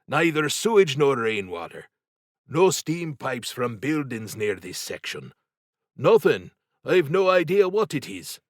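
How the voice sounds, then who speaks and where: A man reading an audiobook, voicing a sly, obsessed workman boss with a sharp, urgent, goading manner. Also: medium pitch, sing-song, a little slow, no echo, clean studio sound, pitched above the performer's own voice. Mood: excited, eager. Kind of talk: audiobook character dialogue.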